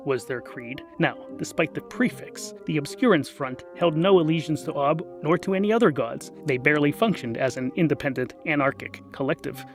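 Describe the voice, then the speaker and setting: A man narrating a scripted comic fantasy story in a dry, deliberate, theatrical way. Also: a little high, animated. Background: music.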